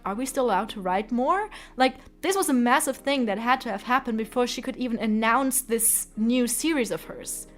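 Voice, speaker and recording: A woman giving opinionated, casual video commentary in an informal, emphatic way with some swearing. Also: a little high. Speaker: video essayist.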